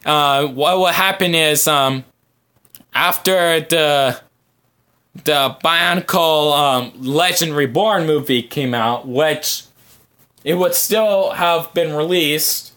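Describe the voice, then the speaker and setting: A man hosting a casual fan podcast, answering viewer comments in a halting, rambling way as he thinks aloud. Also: a little high, pushed and bright. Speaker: podcast host.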